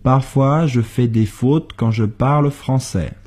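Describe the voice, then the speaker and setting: A husky male voice, speaking very slowly and deliberately. He says each practice sentence for learners, then repeats it word for word. The pace is unhurried, like a drill on a radio lesson. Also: mid-range voice, mellow. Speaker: co-host of a language lesson. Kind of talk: slow model sentences for learners.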